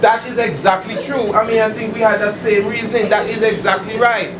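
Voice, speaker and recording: A man's voice: high voice, fairly even intonation, a little fast, over music. A man giving an informal esoteric lecture as a preacher, emphatic and didactic, repeating points and asking rhetorical questions. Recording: distant mic, echoey room, noisy hum.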